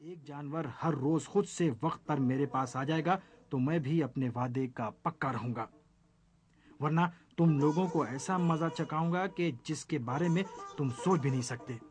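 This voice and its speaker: A man plays a proud lion who rules the jungle in a children's storybook tale. The lion is imperious and quick to anger, giving orders and threats in a fairly high male voice, with music behind. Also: darkish tone, close to an everyday voice. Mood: threatening. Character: proud, hungry, short-tempered lion king of the jungle.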